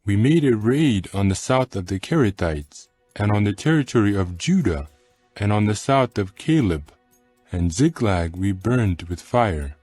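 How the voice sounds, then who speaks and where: An actor playing a weary, frank Egyptian slave who pleads in a measured, subdued way in a dramatized scripture recording. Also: male voice, fairly low pitch, lively intonation, darkish tone, slightly rough, dry recording, soft background music. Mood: subdued, explaining. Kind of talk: dramatized scripture audio acting.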